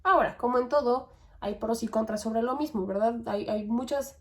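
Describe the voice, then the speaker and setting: Young Mexican woman, slightly high voice, chatty reflective monologue, podcast host. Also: moderate pace. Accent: Mexican Spanish. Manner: conversational, musing, with tag questions and fillers.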